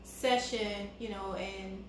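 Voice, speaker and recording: A woman co-hosting a casual couple chat, with a calm, soft-spoken way of talking. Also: medium pitch, slow pace. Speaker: co-host. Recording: very echoey room with faint background noise.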